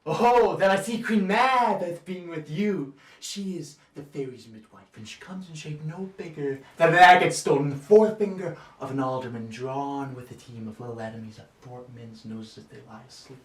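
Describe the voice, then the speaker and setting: A nineteen-year-old man playing a quick-witted young Shakespearean storyteller in a stage monologue, swinging theatrically between hushed and forceful delivery. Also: mid-range voice, natural voice. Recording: roomy echo, distant mic.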